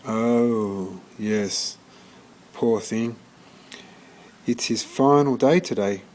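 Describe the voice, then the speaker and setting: A man's voice: on the low side, drawn-out words, darkish tone. A man voicing a doubtful, matter-of-fact woman who works in an animal shelter office in a storybook, questioning and businesslike. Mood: pitying.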